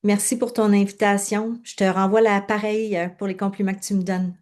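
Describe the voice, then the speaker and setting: A woman's voice: mid-range voice, level tone, brisk, darkish tone. A woman from Quebec with a Quebec French accent, speaking casually as a coach being interviewed. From Quebec, Canada.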